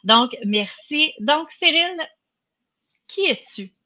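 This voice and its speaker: Bright-voiced woman hosting over lo-fi call. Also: high-pitched, animated, slow. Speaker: host of the interview. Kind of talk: live online interview. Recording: dull lo-fi video call audio.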